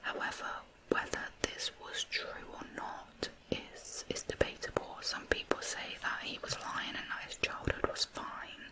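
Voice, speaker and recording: Asmr true crime lady, all breathy whispers, really slow and soft storytelling. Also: low-quality recording.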